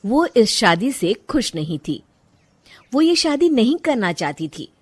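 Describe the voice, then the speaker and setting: A woman's voice: fairly high pitch, big pitch swings, a little slow, husky. A woman narrating a scripted moral story as a dramatic storyteller.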